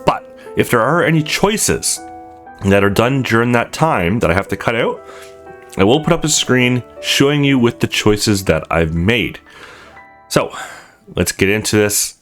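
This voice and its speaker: A man's voice: on the low side, a bit bright. A man hosting a video game let's play in a casual commentary style, talking to his viewers. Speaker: host of a gaming channel. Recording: music playing behind the voice.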